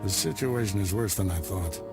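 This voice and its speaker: Grave Jedi master, low, measured, instructive, music under. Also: male voice, creaky. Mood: commanding. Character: stern calm male Jedi master, wise.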